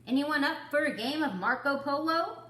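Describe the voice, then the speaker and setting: A woman puts on a boisterous, carefree storybook pig. It calls out loud and playful, pitched high and bright, in a roomy space. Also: expressive, moderate pace.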